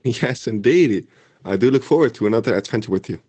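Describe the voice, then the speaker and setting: A man playing a cheerful, affectionate young wizard in a fan audio drama, speaking in a breezy, theatrical, gentlemanly way. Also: medium pitch, expressive, fairly quick, a little hiss or hum, lightly acted. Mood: jaunty, amused. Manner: breezy, theatrical, old-fashioned gentlemanly phrasing.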